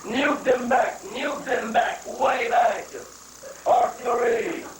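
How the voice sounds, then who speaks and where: A man's voice: very high voice, fairly even intonation, slow pace, heavily acted. A man playing a gung-ho, cynical army general in a satirical play reading, declaiming bombastically. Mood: gung-ho, excited. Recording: roomy, noisy live recording, distant microphone.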